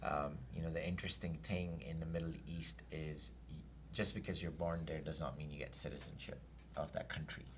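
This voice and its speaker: A middle-aged man who grew up in Saudi Arabia, a guest in an interview, telling his story in a relaxed, reflective way with fillers. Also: a bass voice, brisk, noisy background. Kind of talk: casual interview chat.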